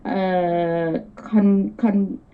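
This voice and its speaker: Slow, hesitant woman on a dull call. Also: medium pitch, fairly even intonation. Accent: non-native English. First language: not English. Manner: hesitant, searching for words. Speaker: caller, air traffic controller. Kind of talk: casual conversation in learner English. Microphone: internet video call.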